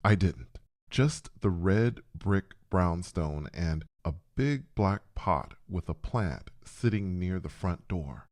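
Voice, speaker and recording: A man narrating a scripted first-person story in a dramatic, deliberate way with pauses. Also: low-pitched, expressive, darkish tone.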